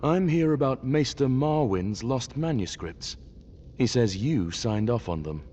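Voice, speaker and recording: An actor playing a terse, determined errand-runner in a video game, direct and wry. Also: male voice, medium pitch, animated, measured pace, dark tone, slight background noise, lightly acted. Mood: businesslike. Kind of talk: video game cutscene acting. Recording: slightly muffled audio.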